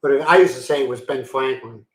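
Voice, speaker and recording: A man's voice: medium pitch, a little fast, reverberant. A man from Pennsylvania hosting a casual live-stream chat, rambling and self-deprecating, laughing easily. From Pennsylvania, USA. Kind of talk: casual chat reading viewer comments. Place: home in the Philippines.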